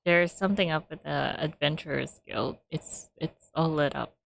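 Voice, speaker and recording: A woman's voice: sits low, moderate pace, gravelly, noisy background. A woman giving casual commentary as she streams a video game.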